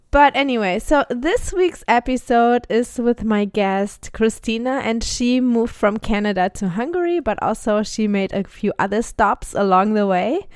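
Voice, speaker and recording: A woman's voice: on the high side, a little mellow, no echo, studio quality. German-accented woman hosting a podcast. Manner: hesitant, with many fillers and restarts. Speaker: podcast host. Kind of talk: casual interview chat.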